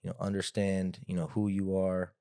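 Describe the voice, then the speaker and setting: A man's voice: sits low, completely flat delivery, moderate pace, vocal fry, mellow, clear, resonant tone, dry recording, studio quality. A man hosting a podcast in a casual, rambling way, padding his talk with fillers. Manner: rambling, hedging with frequent "like" and "you know". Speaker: podcast host, interviewer. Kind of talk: casual chat.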